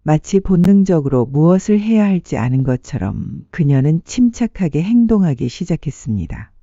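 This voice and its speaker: A narrator reading a dramatic story in a measured, sentimental storytelling manner. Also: mid-range voice, sing-song, warm tone, no room sound, highs cut off. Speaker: audiobook narrator.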